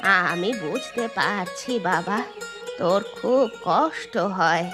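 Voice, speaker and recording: An elderly village granny in a cartoon, played by a woman, frail and grateful. She speaks slowly and draws her words out in a gentle, pleading way. Music plays behind her. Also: medium pitch, expressive. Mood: tender, weary. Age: older. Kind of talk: cartoon voice acting.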